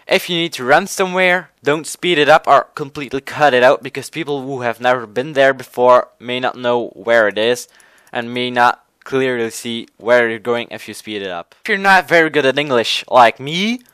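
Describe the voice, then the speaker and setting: Halting Belgian man with a Dutch accent. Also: medium pitch, fairly bright tone, clean tone, dry recording. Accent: Dutch-speaking Belgian. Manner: halting, many restarts and simple phrasing. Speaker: gaming channel host. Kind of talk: casual tutorial commentary.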